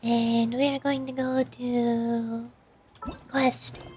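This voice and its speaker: A woman's voice: fairly high pitch, little pitch movement, drawn-out words, darkish tone. A woman giving casual, chatty livestream commentary, talking to herself as she plays a video game. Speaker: streamer, virtual YouTuber. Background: game music.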